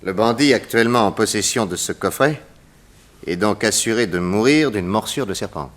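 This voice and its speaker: An actor playing a shrewd, courteous detective who questions people with theatrical confidence, in a 1960s radio serial. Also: male voice, lowish voice, lively intonation, moderate pace, a bit bright. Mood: probing, polite. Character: shrewd, probing, confident American male detective. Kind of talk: 1960s French radio drama acting.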